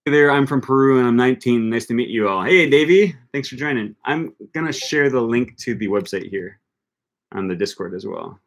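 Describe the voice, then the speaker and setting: A man's voice: mid-range voice, lively intonation, a little fast. A young man hosting a casual livestream, reading viewer comments aloud in an upbeat way with many ums and restarts, close to a desk mic in a small room. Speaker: language-learning channel host. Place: small room with bookshelf, indoors. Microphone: desk microphone close in front.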